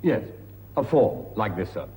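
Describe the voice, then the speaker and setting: A low, mellow voice that speaks slowly and formally: a courteous immigration officer. The recording has a roomy echo with faint ambience behind it. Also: male voice, very animated. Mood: polite. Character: polite British immigration officer.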